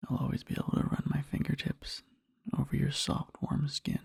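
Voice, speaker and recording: A man playing a devoted, sleepy boyfriend in an ASMR roleplay, murmuring tenderly and haltingly in a husky whisper, close to the microphone. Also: mid-range voice, monotone, a little mellow, no room sound, exaggerated voice. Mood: tender, adoring. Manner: slow, halting, rambling, tender. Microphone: close-up microphone, dry.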